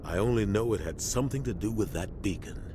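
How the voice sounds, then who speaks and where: An actor playing a seasoned, loyal military starship captain in a video game, grave and measured with authority. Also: male voice, on the low side, mellow, natural voice. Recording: clear engine-like ship noise behind.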